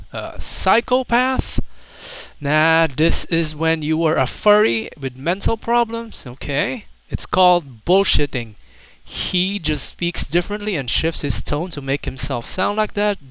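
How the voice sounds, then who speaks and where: A man's voice: fairly high pitch, a bit bright, smooth, no room sound. A young adult man casually reading out internet comments as a commentator, with mocking, incredulous, slangy asides. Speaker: video commentator.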